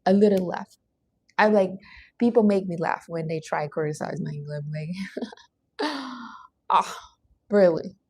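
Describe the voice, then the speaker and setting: Portuguese-accented woman hosting a live stream, earnest motivational pep talk, middle pitch, dry close sound. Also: a little mellow, dry recording. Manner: earnest, emphatic, non-native grammar. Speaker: livestream host.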